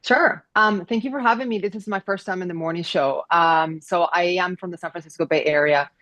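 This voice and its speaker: Low-voiced woman. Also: brisk, a bit bright, dry recording. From Venezuela. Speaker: audience guest, immigration attorney. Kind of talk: conversational personal introduction.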